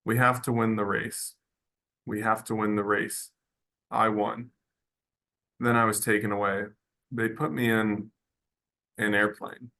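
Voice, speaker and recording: A man voicing an earnest, homesick horse who speaks in short, halting, childlike sentences in a radio drama table read. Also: lowish voice, level tone, creaky, sharp tone, heavily acted. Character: simple earnest horse, plain-spoken and homesick.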